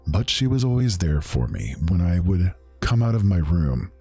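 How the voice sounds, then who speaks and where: Man playing a very deep, husky old mage. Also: big pitch swings, warm tone, no echo, soft background music, exaggerated voice. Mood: wistful. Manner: archaic, formal, measured storytelling. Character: wistful old male mage recounting his past. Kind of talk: fantasy audio drama monologue.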